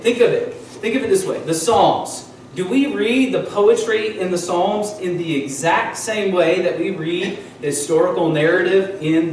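Pastor preaching in an echoey church. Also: male voice, fairly high pitch, a little hiss or hum. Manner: teaching, asks the congregation questions. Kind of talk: sermon. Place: church sanctuary, at a wooden pulpit. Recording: echoey church hall from far off.

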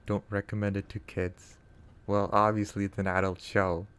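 Relaxed Canadian man streaming a game. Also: on the low side, normal speed, smooth. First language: not English. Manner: relaxed, chatty, answers chat and laughs often. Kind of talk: casual live-stream chat while gaming.

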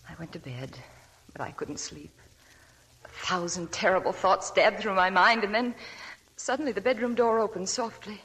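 A woman's voice: mid-range voice, normal speed, fairly bright tone, breathy edge. An actress playing an anxious young wife who pleads earnestly and narrates her story in flashback, in a 1940s radio drama. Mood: narrating. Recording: old radio broadcast, long reverb, faint music.

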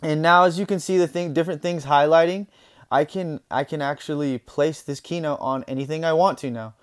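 Young guy doing a laid-back screen tutorial, smooth clear voice, chatty, keeps saying boom. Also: fairly quick. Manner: relaxed, conversational, says "boom" and "guys" often. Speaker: software tutorial host. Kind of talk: casual screen-recorded software tutorial.

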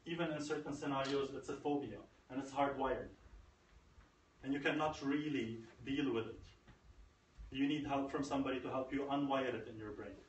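A man's voice: mid-range voice, fairly even intonation, moderate pace. A man in his thirties giving a motivational tech-conference talk, emphatic and repeating phrases for effect. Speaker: conference speaker. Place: conference room. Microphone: far camera. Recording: echoey room, far from camera, faint noise.

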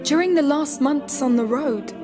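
A woman's voice: high-pitched, moderate pace, over music, close to an everyday voice. A woman playing an earnest, protective teenage girl in a video game cutscene. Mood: earnest, hopeful.

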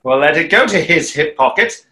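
A man's voice: on the high side, expressive, normal speed, pushed and bright. A man in his thirties in a play reading, playing a suave conjurer with a dry, politely mocking manner. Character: suave, wry stage conjurer trading polite barbs. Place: small room, video call.

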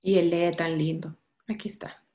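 A woman in her thirties presenting a literature session on a video call, halting with fillers and restarts. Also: fairly low pitch, flat delivery, dark tone. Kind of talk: casual online talk.

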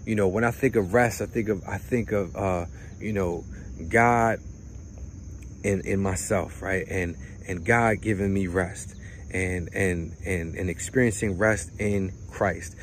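A man's voice: sits low, fairly even intonation, unhurried, slight background noise. A man in his thirties, a pastor, preaching a casual sermon outdoors by a lake, talking in a conversational way and often repeating words as he goes.

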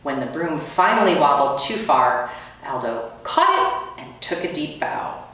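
A woman's voice: low voice, expressive, unhurried, a bit bright. A middle-aged woman, a teacher, reading a children's story aloud in a small school room, picked up by a camera a few metres away. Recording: echoey room, distant microphone.

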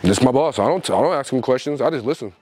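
A man's voice: mid-range voice, expressive, rapid-fire, a little mellow. A man chatting casually as he poses as a store worker, recorded in a noisy public place. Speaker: prankster posing as store worker. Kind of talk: casual prank talk.

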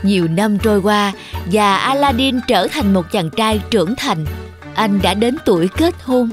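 A woman's voice: medium pitch, animated, measured pace. A woman reading scripted children's story narration as the narrator. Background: music under the voice.

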